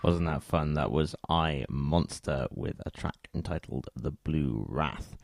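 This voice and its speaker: An extremely low-voiced man presents a music radio show. His voice has a gravelly creak and is recorded close and dry. He chats between tracks and introduces each one. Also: takes it slowly, dry recording.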